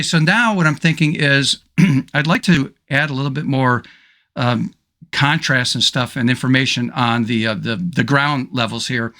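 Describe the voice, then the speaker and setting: A middle-aged man teaching photo editing over a screen recording, slightly hoarse and thinking aloud with relaxed ums and restarts. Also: medium pitch. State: slightly hoarse, clearing throat. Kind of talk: screen-recorded software tutorial.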